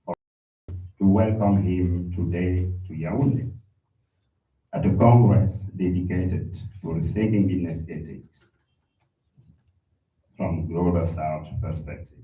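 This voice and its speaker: A very deep, dark-voiced man speaking slowly and formally as he introduces a speaker. His words are measured and dotted with hesitant fillers, and they come from far off in an echoey conference hall. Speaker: conference chair. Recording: echoey hall from a distant mic.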